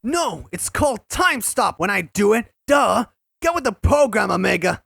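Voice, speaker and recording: A man's voice: high-pitched, very animated, moderate pace, creaky, a bit bright, breathy edge, dry recording, studio quality, heavily acted. A man voicing a cocky young cartoon hedgehog in a fan audio drama, bratty and mocking. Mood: cocky taunting.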